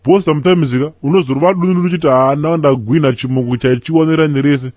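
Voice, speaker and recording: A man's voice: fairly high pitch, quick pace, a little mellow, no echo, clean studio sound, lightly acted. A man voicing a preachy, sceptical friend with a Zimbabwean accent, admonishing in slangy comic cartoon banter.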